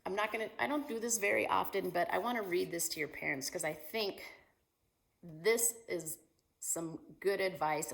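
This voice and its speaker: A woman teacher reading a picture book aloud to children in an expressive, animated way, asking her young listeners questions. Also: fairly low pitch, vocal fry. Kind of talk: animated children's story time read-aloud.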